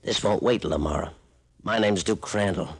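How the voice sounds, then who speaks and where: Hulking thug, menacing, low voice, vintage radio crime play. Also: male voice, moderate pace, breathy edge. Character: menacing big thug in the dark. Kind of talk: 1940s-50s radio detective acting.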